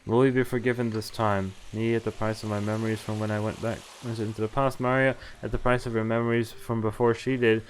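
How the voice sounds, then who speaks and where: A man's voice: fairly low pitch, level tone, a little fast, few pauses, a little hiss or hum, low-quality recording. A man reading visual novel text aloud on a stream, adding casual, sweary, incredulous asides. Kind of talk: reading game text aloud with live reactions. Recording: game music behind the voice. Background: game music.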